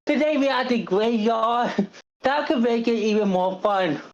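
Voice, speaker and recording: A woman giving casual, enthusiastic feedback in a halting way, over dull online meeting audio. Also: fairly high pitch, darkish tone. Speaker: table read participant giving feedback. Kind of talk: casual chat.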